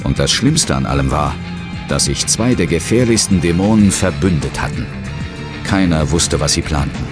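A man playing a serious, brooding ghost hunter who narrates a horror audio drama gravely and dramatically. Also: rumbling low, lively intonation, moderate pace, over music. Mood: somber. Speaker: first-person narrator and hero.

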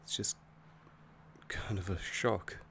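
A man playing an affectionate, protective, easily angered young werewolf boyfriend in an intimate ASMR roleplay, with a hesitant, halting delivery. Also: fairly bright tone, slight background noise. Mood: shaken. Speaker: roleplay audio performer.

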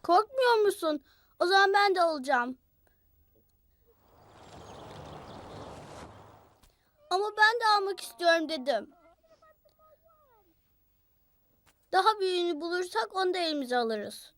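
A woman's voice: extremely high pitch, lively intonation, brisk, a bit bright. A woman voicing an eager five-year-old boy who narrates in simple childlike phrases for a children's show dub. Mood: insistent.

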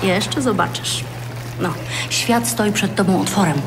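A woman's voice: mid-range voice, unhurried, over music, close to an everyday voice. An actress playing a bold, flirtatious, self-assured woman in her forties in an audio drama, with a teasing, natural delivery. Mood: teasing, confident. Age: 40s.

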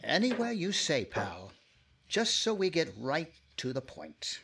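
A man's voice: on the high side, huge pitch swings, unhurried, a bit bright, slightly rough, full character voice. An actor playing Herb Carter, a sly, menacing man back from the dead who taunts with giggles, in 1950s-style radio drama. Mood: gloating.

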